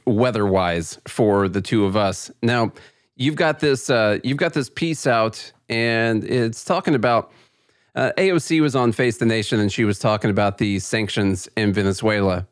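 A man's voice: medium pitch, no room sound. Male podcast host, close dry sound. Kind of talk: conversational interview.

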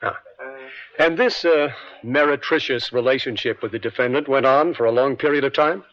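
An actor playing an insistent district attorney in an old radio drama, questioning in a deliberate, theatrical way. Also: male voice, medium pitch, fairly bright tone, natural voice. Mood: probing, pointed. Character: probing male district attorney, insistent and pointed. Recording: dull lo-fi vintage radio.

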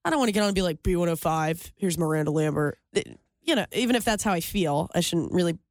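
A woman's voice: lowish voice, lively intonation, quick pace, no room sound, studio quality. A woman radio co-host in casual podcast chat, chatty and self-deprecating, laughing easily. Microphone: studio microphone.

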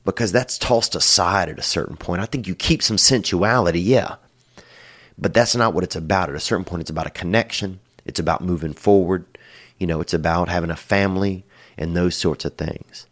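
Deep-voiced guy hosting a call-in show, rambling and crude, tons of "you know". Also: a little fast, fairly bright tone. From Polish Nicaraguan family. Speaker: podcast host. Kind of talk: casual advice to callers.

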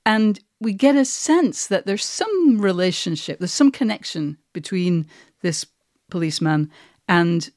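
A woman's voice: on the low side, expressive, a little slow, a little mellow, dry recording, studio quality. A woman hosting a conversational interview, asking long, discursive questions with asides. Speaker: podcast host, interviewer.

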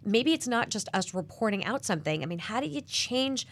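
A woman host and business reporter leading a panel discussion, animated and asking questions. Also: fairly high pitch, fairly quick.

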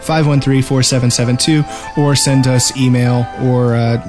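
A man's voice: mid-range voice, fairly even intonation, normal speed, over music. A man hosting a podcast in an informal, conversational way, chatting with co-hosts and reading out sign-offs. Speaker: podcast host. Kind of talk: casual podcast banter and sign-off.

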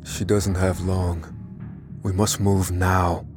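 A man voicing a mysterious, calm artificial intelligence that speaks slowly, tersely and deliberately in an audio drama. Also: low-pitched, music in the background. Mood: urgent, controlled.

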